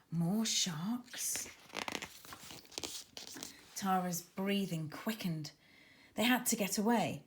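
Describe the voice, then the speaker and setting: A woman reading her children's book aloud as a dramatic, suspenseful and expressive narrator. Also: medium pitch. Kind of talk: expressive scripted story narration.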